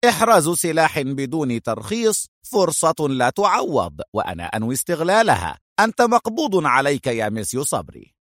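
A man's voice: on the high side, sing-song, brisk, fairly bright tone, no room sound, clean studio sound, voice pushed up. A man voicing a sly, mocking police inspector in an audiobook, smug and self-satisfied. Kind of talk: dramatized audiobook antagonist.

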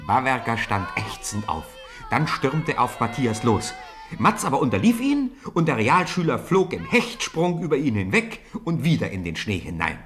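A man narrating a 1950s radio play, telling a snowball fight with rising excitement. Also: mid-range voice, moderate pace. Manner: lively storytelling, rising with the fight. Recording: old radio play with crowd noise behind.

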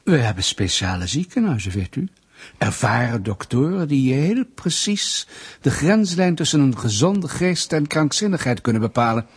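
Casting: smug Soviet interrogator, radio play. Husky, animated pitch, needling mock politeness, quiet menace. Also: male voice, normal speed. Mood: quietly threatening. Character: smug, menacing male Soviet state interrogator toying with suspect. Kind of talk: radio drama acting.

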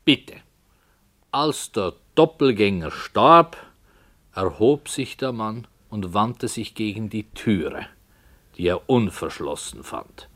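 A calm, unyielding playwright narrating a dark tale. The voice is fairly low and unhurried, with expressive rises and falls. The phrasing is literary, as in 1960s radio drama. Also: male voice, heavily acted. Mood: measured. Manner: measured, deliberate. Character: calm, stubborn radio playwright telling his dark story.

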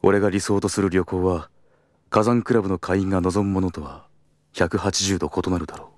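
A man's voice: low voice, steady tone, brisk, no echo, lightly acted. An actor playing an earnest, principled travel agency employee in a Japanese audio drama, formal and deferential with others and blunt in his own thoughts. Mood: earnest, reflective. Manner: formal and deferential aloud, blunt in inner monologue.

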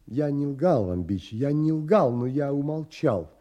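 Moody romantic adventurer in a radio play, slow and measured, pitch swings a lot, dramatic pauses. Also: male voice, expressive, warm tone, dull audio. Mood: confessional, anguished. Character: earnest, brooding, romantic male adventurer telling his story. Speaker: actor and narrator. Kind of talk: audio drama with first-person narration.

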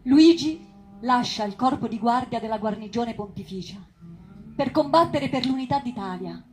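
A woman's voice: fairly high pitch, expressive, normal speed, soft background music, natural voice. A woman acting a passionate storyteller in a lyrical theatrical monologue about a tragic love. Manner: lyrical, impassioned.

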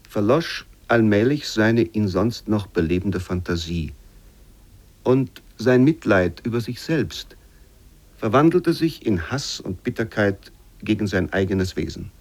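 A man reads old literary prose as a radio narrator, in a measured, formal and expressive way. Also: fairly low pitch.

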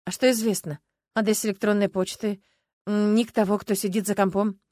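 A relaxed male computer hacker thinks aloud, easy and wry, voiced by a woman narrator. Nothing rushes him. The recording is close and dry. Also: medium pitch, no room sound, natural voice. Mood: musing.

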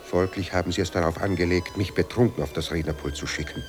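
An actor in a German radio play as a proud, suspicious émigré Austrian writer who drinks, formal and brooding. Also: male voice, sits low, fast, darkish tone, faint music behind, lightly acted. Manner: grandly formal, dramatic, brooding.

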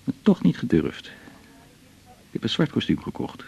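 An actor playing a melancholy man in his forties in a radio drama, with a gravelly, husky voice, confiding in a halting, reflective way. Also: mid-range voice, huge pitch swings, warm tone, full character voice. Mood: pained remembering. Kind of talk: naturalistic radio drama dialogue.

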